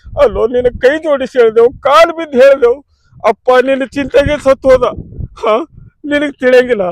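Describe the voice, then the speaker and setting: An actor playing an anxious elder brother who pleads and soothes, with a North Karnataka Kannada accent. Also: male voice, very high-pitched, brisk, rough recording. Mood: worried. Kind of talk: village drama acting.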